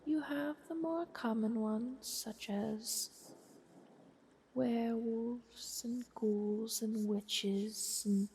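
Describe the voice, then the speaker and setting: A woman voicing a refined, haughty ancient vampire countess in an ASMR roleplay, speaking in a soft, breathy half-whisper with poised, wry, formal phrasing. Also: a little high. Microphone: close binaural microphone. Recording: close binaural mic with clear hiss.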